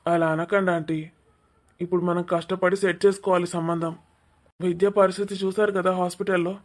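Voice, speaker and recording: A woman's voice: lowish voice, flat delivery, brisk, lightly acted. Woman acting a polite, tactful young man. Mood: placating. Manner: tactful, placating. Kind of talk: cartoon family drama dialogue.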